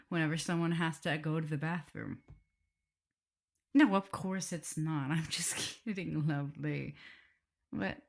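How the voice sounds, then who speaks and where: Casting: woman as tender, teasing vampire mistress and prim teacher; ASMR roleplay, breathy half-whisper, creaky low register. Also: exaggerated voice. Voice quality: soft, breathy, often whispering. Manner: tender, playful, hesitant murmuring. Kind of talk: intimate ASMR roleplay addressed to the listener.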